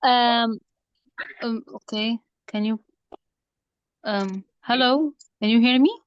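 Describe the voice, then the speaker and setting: A woman's voice: fairly high pitch, slow pace, smooth. A woman taking part in an online session, asking a question hesitantly with many fillers. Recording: dull dry call audio.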